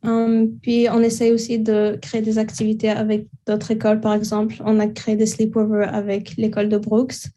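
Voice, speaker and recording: A teenage girl, a high school student, speaking in a steady, measured way with occasional fillers. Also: slight background noise. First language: French and Arabic household. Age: teens. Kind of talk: spoken testimony.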